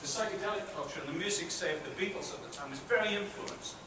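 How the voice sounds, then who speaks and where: A man's voice: sits high, fast. British man lecturing in an echoey hall. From Britain. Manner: chatty, asks the audience questions, self-deprecating asides. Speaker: university professor giving a guest lecture. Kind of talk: public lecture to students. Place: large theatre hall with an audience. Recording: very echoey hall, far-off and noisy.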